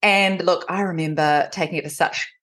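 A New Zealand woman in her forties talks as a podcast guest, with a slightly low-pitched voice. She tells personal stories in a chatty, animated, conversational way. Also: normal speed. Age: 40s. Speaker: podcast guest, recovery coach.

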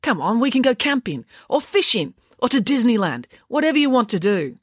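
Woman putting on an indignant scheming father, animated. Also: a little high, brisk, slightly rough, dry recording, clean studio sound, pitched above the performer's own voice. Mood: pleading. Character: indignant, scheming ex-convict father, adult man.

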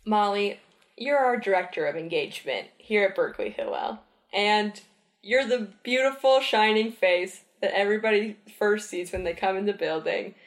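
A woman's voice: medium pitch, normal speed. A young woman hosting a podcast interview, talking with gushing, giggly enthusiasm. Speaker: podcast host. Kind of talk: casual interview. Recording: echoey room.

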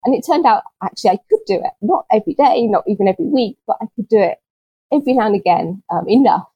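A woman guest on a nature podcast, answering in a gentle, unhurried way with small restarts and soft laughs, sounding a little low on energy. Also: lively intonation, husky, no echo, lo-fi sound. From UK, not Scotland. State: chronically ill, speaks with low energy. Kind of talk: conversational interview answers.

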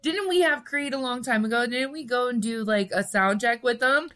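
A woman's voice: fairly high pitch, normal speed, over loud music. A woman co-hosting a podcast in casual, conversational banter. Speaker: podcast co-host. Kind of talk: casual chat.

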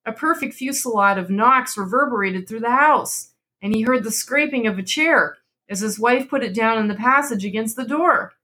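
A woman's voice: fairly high pitch, moderate pace, pushed and bright. A woman hosting a podcast, moving between dramatic story narration and casual chat. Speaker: podcast host and story reader.

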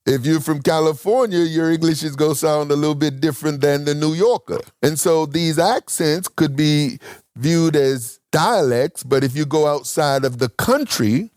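A man's voice: on the high side, normal speed, darkish tone, no echo, studio quality, faint music behind. A man teaching a congregation about the Bible, asking questions aloud and thinking through his answers in short broken phrases.

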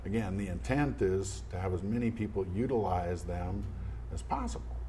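A man with a low, warm voice talks through a family history in a thoughtful, earnest way. He often backs up and restarts phrases as he thinks. A faint background hiss sits under the recording. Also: normal speed. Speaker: interview guest. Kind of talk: conversational storytelling.

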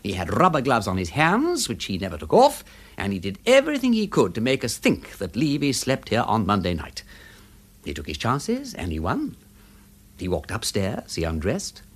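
Radio drama lead: excitable aristocratic sleuth, upper-class English, witty, wildly varied intonation. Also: male voice, fairly low pitch, normal speed, slightly rough, heavily acted. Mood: excited, triumphant. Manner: theatrical, playful, exclamatory. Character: aristocratic gentleman detective, excitable, witty, keen-eyed. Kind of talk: full-cast radio drama acting.

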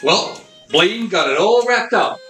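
Man as lively, bright old-time radio narrator. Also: high-pitched, slow pace, soft background music, heavily acted. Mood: recapping. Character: chummy, theatrical male radio serial narrator. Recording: echoey room.

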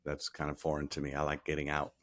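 Deep-voiced older man telling stories. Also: monotone, fast talker, fairly bright tone, no echo, studio quality. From Rhode Island. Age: 60s. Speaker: podcast guest. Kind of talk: casual interview.

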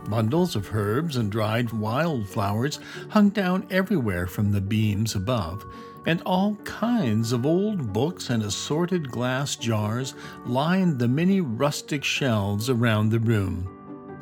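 A man narrating a children's fantasy story as a measured storyteller. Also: medium pitch, animated, a little mellow, soft background music. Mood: measured, descriptive.

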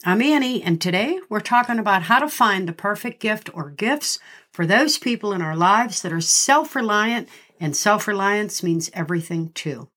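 Woman, middle-aged, podcast host, nasal from a cold, friendly, pauses between list items. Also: mid-range voice, expressive, a bit bright. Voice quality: slightly nasal. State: congested from a cold. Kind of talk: scripted podcast monologue.

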